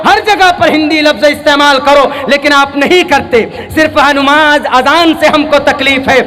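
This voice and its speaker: Very high, forceful male preacher in heavy reverb. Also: steady tone, moderate pace. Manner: emphatic, declamatory, repeats phrases for stress; mixes scripture recitation and English words into his address. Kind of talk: fiery sermon oratory to a crowd. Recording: noisy, long-reverb public address sound.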